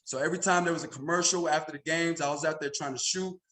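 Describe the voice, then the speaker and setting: A man's voice: fairly high pitch, fast talker, a bit bright, slight background noise. An American man in his thirties from Chicago, telling personal stories casually as an interview guest, often checking in with "right?". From Chicago, United States. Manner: conversational, frequent "you know" and "right?" tags. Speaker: podcast guest, insurance agency senior executive.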